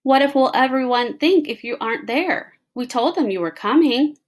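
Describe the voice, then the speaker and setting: A coaxing, firm storybook husband, as a woman reader voices him, urging and cajoling with a touch of exasperation. The pitch rides up with each push. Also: lively intonation, moderate pace.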